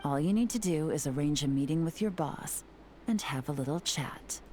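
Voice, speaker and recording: Cool, low-voiced commanding woman investigator. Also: lively intonation, moderate pace, warm tone, faint music behind. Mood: composed, assured. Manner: composed, calculating, dryly teasing. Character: cool, shrewd, commanding adult female investigator. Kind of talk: scripted video game voice acting.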